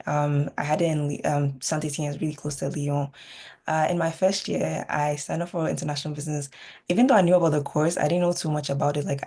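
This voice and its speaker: A woman's voice: low voice, vocal fry, slightly rough. A young woman from Ghana, answering casually as a student on a video-call panel, with many fillers. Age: young adult. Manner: relaxed, loose, many "um" and "like" fillers.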